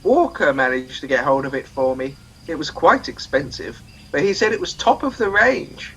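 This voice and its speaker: A man playing a gentle, doddery elderly crewman in a comedy radio play, mild and apologetic. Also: on the high side, very animated, normal speed, a little hiss or hum, rough recording. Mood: meek.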